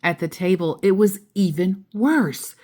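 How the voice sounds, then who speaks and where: A woman storyteller reading a children's picture book aloud expressively, drawing out key words and adding playful asides. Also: medium pitch. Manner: animated, drawn-out emphasis with playful asides.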